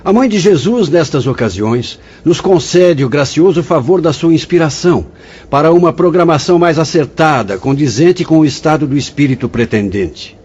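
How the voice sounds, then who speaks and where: An actor playing a kindly, solemn spirit mentor in a radio drama, speaking in a measured, paternal, preaching way. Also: male voice, a little high, animated, a little mellow, breathy edge, muffled recording. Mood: solemn, instructive.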